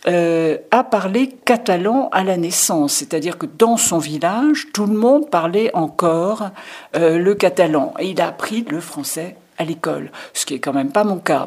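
Husky, thoughtful French woman guest. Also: lowish voice, a little mellow. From Pyrénées-Orientales, France. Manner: thoughtful, hesitant with many pauses. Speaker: author guest. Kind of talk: reflective interview answers.

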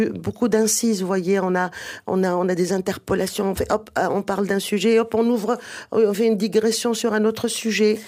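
A woman's voice: medium pitch, darkish tone. A woman whose first language is Arabic, a guest author explaining at length in a radio interview with many fillers and restarts.

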